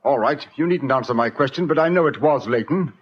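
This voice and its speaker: A man's voice: mid-range voice, fairly quick, breathy edge, close to an everyday voice. An actor playing a suspicious, agitated English businessman, insistent in manner, in 1940s radio style. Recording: dull vintage radio recording.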